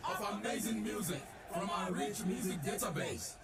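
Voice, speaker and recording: A man shouting the lines of a radio station promo. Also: high-pitched, moderate pace, over a noisy crowd, very noisy. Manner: shouting, emphatic. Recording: echoey, distant, over loud music. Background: loud music.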